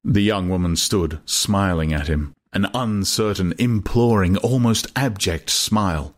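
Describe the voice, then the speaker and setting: A man's voice: deep voice, a little slow. A man reading literary fiction as a scripted, dramatic audiobook narration, with noise behind him.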